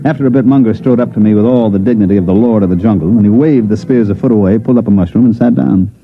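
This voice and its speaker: A man's voice: lowish voice, very fast, slight background noise, close to an everyday voice. An American actor playing a dry, wisecracking special agent who narrates his case in a 1950s radio science fiction drama. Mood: wry, sardonic. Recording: dull vintage radio recording, music behind.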